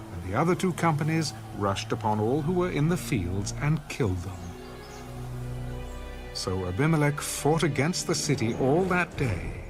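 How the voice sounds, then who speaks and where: A man reading a dramatized audio Bible as narrator, in a measured, solemn storytelling manner. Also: mid-range voice. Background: background music.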